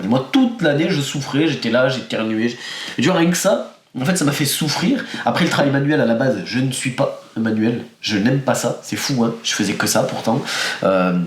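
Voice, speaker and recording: A young man with a cold, a start-up founder talking candidly and with energy in a casual podcast interview. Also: mid-range voice. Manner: energetic, candid, many restarts. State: has a cold. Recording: roomy echo.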